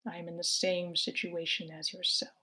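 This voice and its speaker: A woman reading the part of a calm male cyborg scientist in a wordy, matter-of-fact way at a table read. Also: fairly low pitch, moderate pace. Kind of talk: live screenplay table read.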